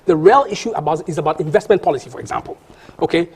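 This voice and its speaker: A high-pitched man, who talks fast and keeps pushing his point. He stumbles, restarts and repeats words as he argues on a TV panel, with a husky voice. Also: a little mellow. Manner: insistent, argumentative, many restarts and repeated words. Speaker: panel guest. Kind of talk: heated TV panel debate.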